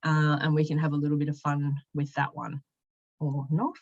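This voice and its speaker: A woman presenting a casual, chatty software tutorial in an upbeat, informal manner, walking through it step by step. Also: low voice, completely flat delivery, moderate pace, dull audio. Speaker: presenter giving a software demonstration.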